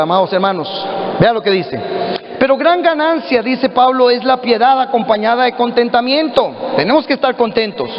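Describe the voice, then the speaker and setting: Costa rican pastor preaching, pretty high voice, big echoey church sound, kinda muffled. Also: male voice. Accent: Costa Rican Spanish. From Costa Rica. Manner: earnest preaching, addresses listeners as brothers. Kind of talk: sermon. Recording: echoey hall, dull lo-fi audio.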